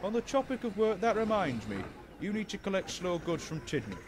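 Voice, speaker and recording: A man's voice: sits high, very animated, fairly quick, noisy background, voice pushed up. A man voicing a formal, authoritative railway controller who gives orders in a children's storybook. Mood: firm. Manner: formal, commanding. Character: brisk, authoritative male railway controller, fatherly boss.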